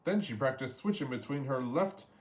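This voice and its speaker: Animated man reading a children's story. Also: mid-range voice, normal speed. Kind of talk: children's story read-aloud.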